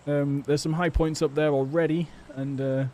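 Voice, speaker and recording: A man's voice: a little high, little pitch movement, moderate pace, mellow, slight background noise. A British man giving casual, rambling live game commentary as an esports caster, chatty and a little hesitant. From England. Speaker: esports caster and stream host. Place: home or personal studio.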